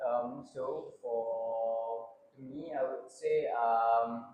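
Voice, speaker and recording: A young man with a Malaysian English accent speaks as a panelist in a student panel discussion, halting and pausing to find his words. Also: medium pitch, steady tone, darkish tone. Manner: hesitant, halting, many filler sounds. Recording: roomy echo.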